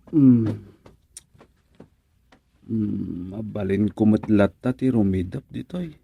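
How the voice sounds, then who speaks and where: An actor playing a drowsy, easygoing village man in an Ilocano radio comedy, with drawn-out sighing interjections. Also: fairly low pitch, vocal fry, warm tone, slightly rough, heavily acted. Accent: Filipino, Ilocano.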